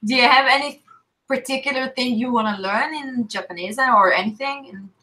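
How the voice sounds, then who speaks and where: A woman's voice: on the high side, moderate pace, bright, forceful tone, low-quality recording. A woman with an Israeli accent, co-hosting a casual live chat in an upbeat, encouraging way. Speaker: co-host.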